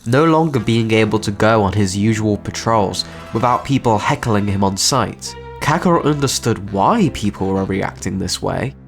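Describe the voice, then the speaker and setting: A man's voice: on the low side, gravelly. A man reading scripted, dramatic narration for a story.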